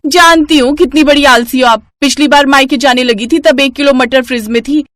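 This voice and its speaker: A woman voicing a sharp-tongued, bossy young daughter-in-law who scolds and complains in an animated moral story. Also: high voice, fairly quick, no room sound, clean studio sound, pitched above the performer's own voice. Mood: bossy, complaining.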